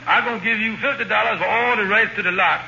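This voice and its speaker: An actor playing a scheming, fast-talking lodge leader in an old radio comedy, blustering and wheedling in folksy ungrammatical talk. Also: male voice, high voice, gravelly, pushed and bright, heavily acted. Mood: persuasive. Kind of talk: 1940s radio comedy acting. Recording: muffled old radio broadcast with faint noise.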